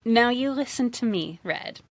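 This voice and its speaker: A woman reading the part of a brisk half-orc policewoman in a fantasy storybook, firm and chiding but friendly. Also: a little high, lively intonation, creaky, no room sound, pitched above the performer's own voice, exaggerated voice.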